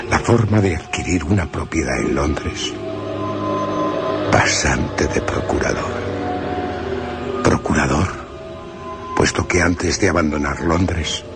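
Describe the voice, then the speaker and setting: A man's voice: rumbling low, creaky, heavily acted. An actor playing a young male solicitor, who tells his frightening journey in tense first-person radio drama narration. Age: young adult. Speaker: narrator as character. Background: music.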